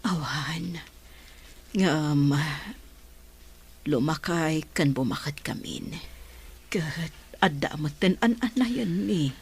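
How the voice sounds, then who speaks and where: Woman doing a tired old mom begging forgiveness, slow and low, breathy. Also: full character voice. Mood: pleading. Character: aging remorseful mother, weary. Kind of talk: radio drama acting.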